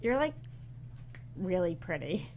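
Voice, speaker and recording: A woman's voice: on the low side, big pitch swings, slow, a little mellow, slight background noise, heavily acted. A woman playing a sarcastic, playful woman in her thirties in a casual comedy script read. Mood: friendly. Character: teasing, playful thirty-six-year-old woman, vampire's little sister.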